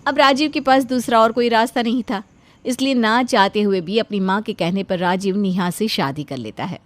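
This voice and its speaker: Woman storyteller with medium-pitched voice. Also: normal speed, dry recording. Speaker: narrator. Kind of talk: scripted narration of a Hindi animated moral story.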